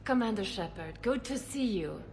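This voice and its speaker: A woman's voice: medium pitch, expressive, moderate pace, darkish tone, natural voice. A woman playing a composed, caring head physician in a dramatic video game. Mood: grateful. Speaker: doctor. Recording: ambient background noise.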